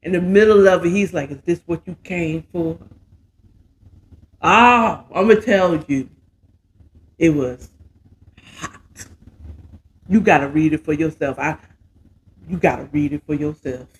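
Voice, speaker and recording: An American man tells a story in a casual, theatrical way. His voice sits a little high and he lingers over his words, breaking off for sassy, drawn-out asides. There is noise behind him in the recording. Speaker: host of a story recap show. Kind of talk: casual animated retelling of a romance story.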